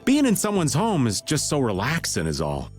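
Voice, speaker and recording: Very animated roguish older guy. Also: medium pitch, normal speed, soft background music. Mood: playful, teasing. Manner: laid-back, playful, casual. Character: breezy, roguish middle-aged man, playful slacker. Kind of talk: video game dub acting.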